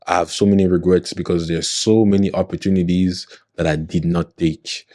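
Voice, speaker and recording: A man's voice: sits low, fairly even intonation, moderate pace, dark tone, no echo, clean studio sound. A man in his twenties, a podcast guest, talking earnestly in a motivational way and often checking in with his listener. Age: 20s. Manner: earnest, motivational, often checks in with "you know what I mean". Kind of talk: casual podcast conversation.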